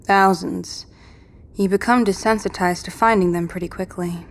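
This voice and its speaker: A woman's voice: on the low side, fairly even intonation, moderate pace, a little hiss or hum, close to an everyday voice. A woman playing a determined, world-weary apocalypse survivor in an audio drama, speaking in a terse, matter-of-fact and commanding way. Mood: grim, matter-of-fact.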